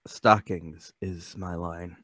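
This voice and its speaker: A man's voice: sits low, steady tone, takes it slowly, a bit bright, breathy edge, no echo, heavily acted. A man playing a meek, troubled middle-aged salesman in a radio play table read, hesitant and apologetic. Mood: reserved.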